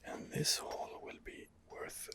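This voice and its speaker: A man softly narrating an ASMR game playthrough in character, hesitant and musing to himself in a hushed voice. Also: slow pace. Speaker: host of a roleplay gameplay series.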